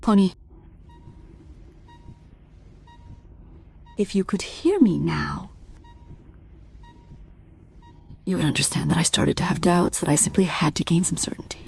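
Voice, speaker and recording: A woman's voice: lowish voice, a little fast, gravelly, a little mellow, breathy edge, in a whisper. A woman playing an anxious wife full of doubt in a radio drama, speaking in an insistent, questioning way. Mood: troubled, reflective. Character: anxious musician's wife doubting her husband's innocence. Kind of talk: dramatic radio play dialogue and monologue.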